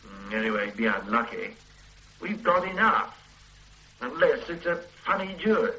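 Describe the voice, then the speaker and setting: A brisk, self-assured detective in a radio drama, quick to dismiss any doubt. His voice sits mid-range but bright and lively in its rises and falls. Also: a little high, low-quality recording. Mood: dismissive. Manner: brisk, dismissive, matter-of-fact. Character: confident male police detective, brisk and certain. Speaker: senior police detective.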